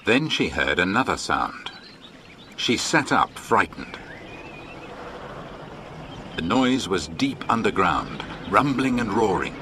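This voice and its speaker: A man's voice: low voice, sing-song, slow pace, pauses often, sharp tone, slight background noise, heavily acted. A man with an English accent narrating a children's adventure story as a scripted storyteller. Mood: storytelling.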